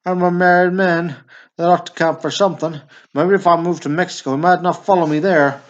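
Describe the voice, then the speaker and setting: A man playing an excitable, needy, sentimental small-town in a stage drama, with a pleading, emphatic manner. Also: high-pitched, fairly quick, highs cut off, natural voice. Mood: fretful.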